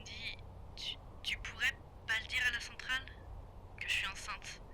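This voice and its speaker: An actress playing a teasing, affectionate pregnant wife, talking casually over a private radio line in an audio drama. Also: female voice, fairly high pitch, fairly even intonation, normal speed, sharp tone, a little hiss or hum. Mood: tender, pleading. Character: pregnant young wife, teasing, tender and sarcastic. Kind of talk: naturalistic audio drama dialogue.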